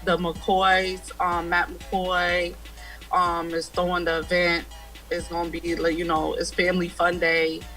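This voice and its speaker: A woman's voice: on the low side, monotone, unhurried, fairly bright tone, soft background music, low-quality recording. A young woman in her twenties, a guest musician talking casually and easygoingly over a video call from a room at home. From United States. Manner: easygoing, laughs often. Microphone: video call webcam.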